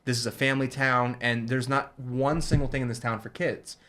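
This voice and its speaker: A man from New Jersey talks quickly and at a middle pitch, telling a story in a relaxed chat. He slips into the voices of the people he quotes as he goes. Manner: fast, animated retelling with quoted dialogue. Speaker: guest, ice cream shop owner. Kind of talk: casual storytelling.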